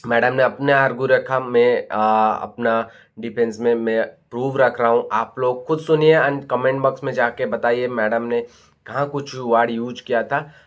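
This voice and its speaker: A man talks informally to his viewers in an exposé style. His voice sits at an average pitch and he often checks that listeners follow. The recording is dull and lo-fi. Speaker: vlogger. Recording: dull lo-fi audio.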